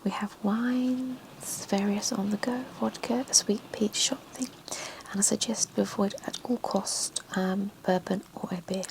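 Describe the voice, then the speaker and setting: A woman voicing a woman offering drinks to friends, in a soft unvoiced whisper. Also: mid-range voice, steady tone, unhurried, vocal fry, a little hiss or hum, low-quality recording. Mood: welcoming.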